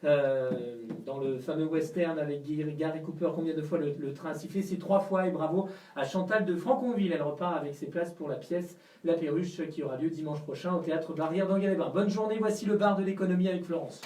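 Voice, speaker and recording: A man's voice: on the high side, a little fast, dark tone. Echoey distant man, local radio presenter. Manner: hesitant, filler-heavy. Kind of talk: radio news and game wrap-up. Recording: echoey, distant, noisy studio mic.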